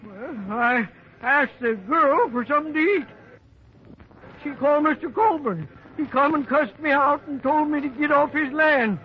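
An actor playing a sullen, defiant drifter accused of murder, with rustic, ungrammatical speech, in an old radio drama. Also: male voice, very high-pitched, expressive, measured pace, slight background noise. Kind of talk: 1950s radio drama acting. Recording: muffled vintage radio recording.

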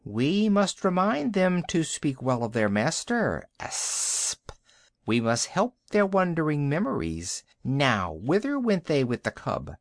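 A man voicing a huge, proud, scornful rock python in a storybook, with haughty, deliberate, archaic speech. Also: on the high side, sing-song, no echo, clean studio sound, exaggerated voice. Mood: menacing.

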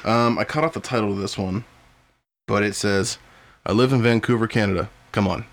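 A man's voice: fairly low pitch, brisk. A man co-hosting a casual podcast, crude and joking, with a slight cough. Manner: crude, joking, bursts of loud laughter. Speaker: podcast co-host. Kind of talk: casual comedic chat.

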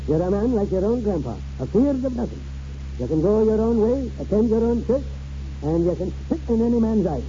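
A boastful old Scottish grandpa in a vintage radio play. He speaks grandly, like a born showman, in a high but very mellow voice. The recording is muffled. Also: sing-song, brisk, slight background noise. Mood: proud, rousing. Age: older. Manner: grandiloquent, theatrical. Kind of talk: 1940s radio acting.

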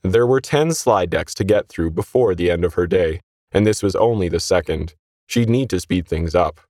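A man's voice: sits low, expressive, normal speed, a little mellow, no echo, clean studio sound. A man narrating a scripted short story as its reader.

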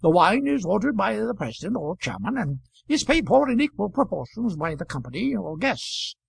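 A man's voice: high-pitched, sing-song, normal speed, vocal fry, slightly rough, no echo, voice pushed up, exaggerated voice. A man voicing a long-winded, pompous male speechmaker with an oratorical delivery.

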